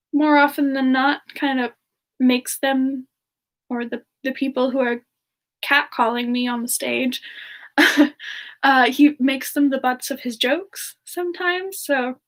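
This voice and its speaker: Woman, high voice, unhurried delivery, halting and giggly, for an in-character semi-ASMR livestream chat. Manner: halting, musing aloud, giggly. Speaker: livestream host in character, answering viewer questions.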